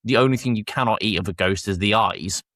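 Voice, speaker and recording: A man with a fairly low voice hosts a casual review podcast. He explains things at length and corrects himself along the way. The sound is close and dry. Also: quick pace, a bit bright, no room sound. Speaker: podcast host. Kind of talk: casual review chat with plot summaries.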